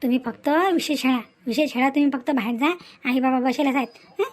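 A woman's voice: high voice, steady tone, fast talker, close to an everyday voice. A woman with a Vidarbha Marathi accent playing a greedy, bossy young daughter-in-law in a cartoon family drama, wheedling and insistent. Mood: scheming, insistent. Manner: insistent, wheedling, punctuated with questioning grunts.

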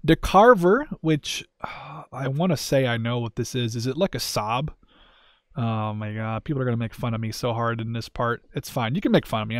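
A man's voice: medium pitch, darkish tone, no room sound, clean studio sound. A man hosting a gaming video with casual, rambling and self-deprecating commentary in an informal style.

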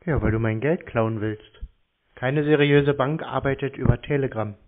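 A man in a casual phone conversation, answering tersely in a deadpan, teasing way. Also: mid-range voice, moderate pace. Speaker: scam-baiter taking a sales call. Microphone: telephone. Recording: thin narrow phone line.

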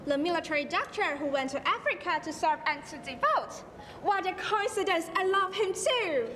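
A woman's voice: extremely high pitch, expressive, normal speed, a bit bright, a little hiss or hum. A teenage girl with a Taiwanese accent playing an eager, questioning teenage son in a school stage play. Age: teens. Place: large school auditorium stage. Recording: echoey hall with music behind.

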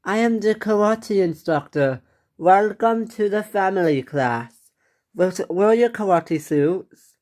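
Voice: mid-range voice, animated, slow, darkish tone, pitched above the performer's own voice. A performer voicing a firm, polite karate instructor who talks in an instructing way in a pretend-play story. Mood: welcoming. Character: insistent, chipper karate instructor.

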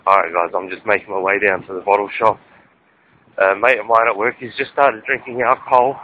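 A man's voice: on the low side, steady tone, brisk. A young Australian man chatting with crude, rambling jokes as he vlogs while walking outdoors, holding the camera at arm's length. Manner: rambling, crude jokey banter. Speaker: vlogger. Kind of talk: casual walking vlog. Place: outdoors on suburban streets. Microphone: handheld camera at arm's length. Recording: lo-fi camera audio with street noise.

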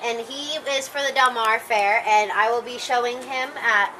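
A young woman speaks in a fairly high, casual voice. She presents like a student exhibitor, a little hesitantly, outdoors with clear ambient noise. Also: moderate pace, sharp tone. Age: teens to young adult. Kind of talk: informal show-and-tell. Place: outdoors beside parked trailers. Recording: noisy outdoor camcorder sound.

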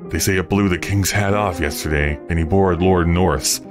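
A man's voice: low voice, steady tone, moderate pace, over music, natural voice. A man voicing a menacing, murderous barber from a Victorian melodrama, barking threats and putting on oily politeness. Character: menacing murderous barber, false jocularity, gruff temper. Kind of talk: Victorian melodrama storybook character reading.

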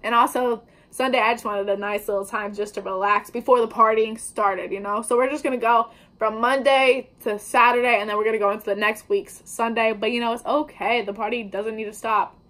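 Young woman, fairly high voice, chatty and slangy, upbeat, home vlog, camera mic. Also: fairly even intonation, brisk, few pauses, a bit bright. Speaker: vlogger. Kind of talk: casual baking vlog. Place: indoors at home, kitchen table before a wallpapered wall.

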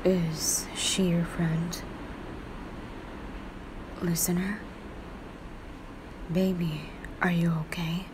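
A woman voicing a cool, protective teenage boy in a romantic roleplay, murmuring low and breathy with a curt manner. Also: little pitch movement, very slow, pauses often, noisy background. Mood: concerned. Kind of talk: romantic fan-fiction roleplay ASMR.

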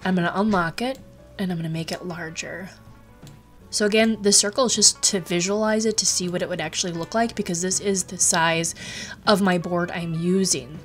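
A woman's voice: lowish voice, steady tone, moderate pace, faint music behind. A woman hosting a craft tutorial, talking casually with lots of ums and restarts, her voice a little stuffy from a cold. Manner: chatty, rambling, many ums and restarts. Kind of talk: casual screen-recorded tutorial.

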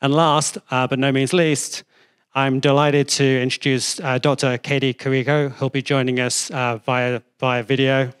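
Middle-aged man, even clear voice, formal introduction. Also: medium pitch, normal speed. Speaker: event host introducing panelists. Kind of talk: formal scripted introduction read aloud. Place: lecture hall. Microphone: handheld microphone at a podium.

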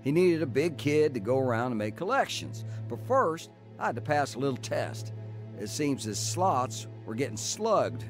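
An actor playing a tough, plainspoken ex-criminal in a dramatized radio story. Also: male voice, mid-range voice, very animated, normal speed, faint music behind, natural voice. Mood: wry, matter-of-fact. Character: tough male ex-criminal recounting his bootlegging youth. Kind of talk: dramatized first-person narration and dialogue.

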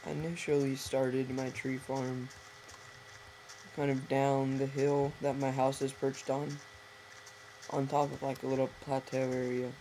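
A hoarse teenage boy chatting casually to viewers over gameplay, rambling and correcting himself as he goes. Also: medium pitch, completely flat delivery, unhurried, warm tone. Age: teens. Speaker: gameplay commentator. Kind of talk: casual let's-play commentary. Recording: noisy home recording with typing.

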